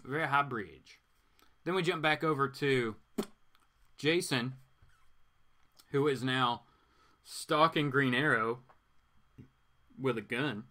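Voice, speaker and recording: A man doing a casual dramatic reading as host, adding slangy, wry asides in an animated way. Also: mid-range voice, slow pace, pauses often. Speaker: host reading comic books aloud.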